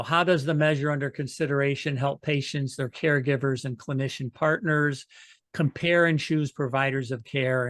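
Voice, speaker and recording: A man's voice: mid-range voice, no echo, studio quality. A man serving as patient co-chair, giving reflective, earnest opening remarks on a video call.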